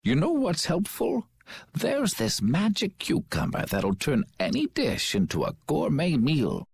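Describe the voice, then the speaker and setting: Creaky, raspy village elder. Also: medium pitch, wildly swooping pitch, a little slow, darkish tone, exaggerated voice. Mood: quirky, eager. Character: eccentric village elder keeping ancient lore. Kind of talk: video game dialogue, formal exposition.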